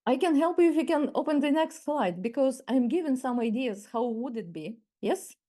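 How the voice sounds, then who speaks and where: A Ukrainian-accented woman of around sixty leads a webinar masterclass over a video call. Her voice sits a little high but sounds mellow. She speaks in a measured way and often circles back to restart her phrases. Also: lively intonation. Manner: measured, with frequent restarts and repeated words. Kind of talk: webinar talk.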